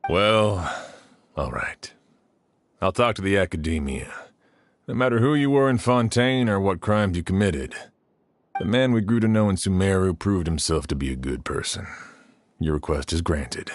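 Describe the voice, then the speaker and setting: An actor playing a weary but fair, authoritative sheriff in a video game. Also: male voice, deep voice, lively intonation, moderate pace. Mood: reluctant, respectful.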